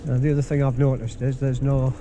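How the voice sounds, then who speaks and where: A man's voice: mid-range voice, quick pace, slight background noise. Chatty man touring a campsite by bike. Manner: relaxed, chatty, repeats words while thinking. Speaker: vlogger touring a campsite by bicycle. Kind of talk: casual spoken tour commentary. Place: outdoors, riding round a campsite.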